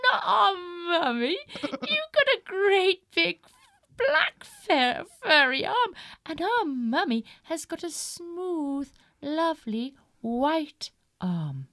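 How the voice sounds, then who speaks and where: A woman playing shrill little goat kids. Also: very animated, takes it slowly, sharp tone, dry recording, exaggerated voice. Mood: indignant. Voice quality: high squeaky childlike. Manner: shrill and breathless.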